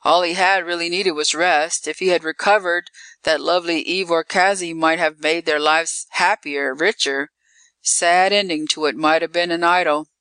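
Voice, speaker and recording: A narrator voicing a regretful onlooker discussing a death in hushed, clipped remarks. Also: mid-range voice, steady tone, normal speed, clean tone, no room sound, lightly acted. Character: hushed mourners discussing a young man's death.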